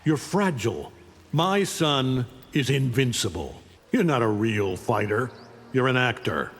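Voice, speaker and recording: An actor playing an arrogant, menacing alien superhero conqueror, imperious and taunting, in a fighting game. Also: male voice, mid-range voice, expressive, measured pace, breathy edge, big-room sound. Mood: contemptuous. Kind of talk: fighting game character dialogue.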